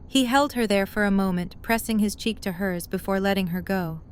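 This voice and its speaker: A woman's voice: mid-range voice, a little fast, slight background noise. A woman reading scripted story narration as the narrator of a drama.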